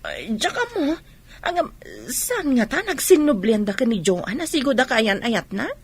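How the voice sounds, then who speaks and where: A high-voiced confiding gossip. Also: female voice, very animated, moderate pace. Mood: confiding, probing. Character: gossipy woman confiding in her friend. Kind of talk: Ilocano radio drama acting.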